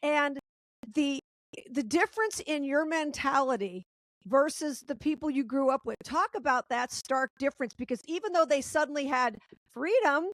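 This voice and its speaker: A woman's voice: high-pitched, normal speed, fairly bright tone, dry recording, poor audio. A woman hosting an interview, making her points emphatically in long run-on sentences. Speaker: talk show host.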